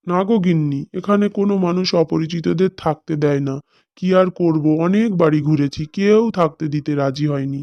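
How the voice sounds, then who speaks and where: A man's voice: on the high side, moderate pace, dry recording, lo-fi sound, natural voice. A man voicing a poor, humble village father in a cartoon.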